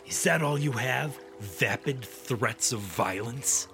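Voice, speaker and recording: An actor playing a haughty, scornful, indignant captive villain in a radio drama. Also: male voice, fairly low pitch, lively intonation, drawn-out words, over music, heavily acted. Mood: cold contempt.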